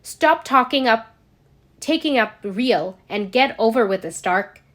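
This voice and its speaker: A woman's voice: a little high, animated, unhurried, a bit bright. Woman acting a snappish, impatient male superhero. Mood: snapping. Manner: snappish, blunt.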